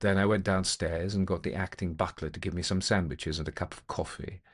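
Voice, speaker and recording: A man narrating a ghost story as a methodical gentleman investigator, speaking in a formal, deliberate, old-fashioned way. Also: deep voice, fairly even intonation, husky. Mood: measured, intent. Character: male gentleman investigator recounting an eerie experience, methodical, intent.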